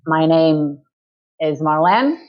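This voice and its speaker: A woman from Portugal speaking English with a Portuguese accent, slowly searching for words as she explains her chamber of commerce in an interview over a video call. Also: deep voice, expressive. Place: in Lisbon. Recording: dull lo-fi call audio.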